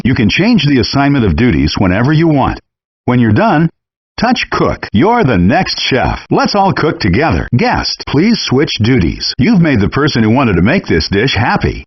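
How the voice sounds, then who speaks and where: A man voicing a friendly, encouraging virtual chef in a cooking game, giving clearly worded scripted instructions through dull, compressed game audio. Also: mid-range voice, dry recording. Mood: cheerful, encouraging.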